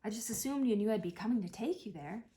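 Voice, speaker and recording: A woman reading a play as a dying older mother, wry, tender and apologetic, with a gentle, reflective way of talking. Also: medium pitch, animated, quick pace, close to an everyday voice. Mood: reminiscing.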